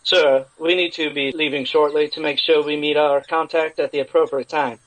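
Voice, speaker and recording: A man's voice: fairly high pitch, fairly even intonation, normal speed, a bit bright, voice pushed up, close to an everyday voice, rough recording. A man voicing a dutiful, formal woman in a Western posse, with a businesslike manner, in an audio story.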